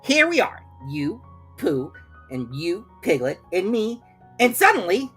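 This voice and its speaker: A self-important rabbit from a children's storybook, performed by a woman, bossing everyone about. The voice is pushed up high and swings widely in pitch, with deliberate, pompous emphasis on each point. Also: sing-song, husky, faint music behind. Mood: exasperated. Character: Rabbit, bossy self-important male rabbit, brisk schemer.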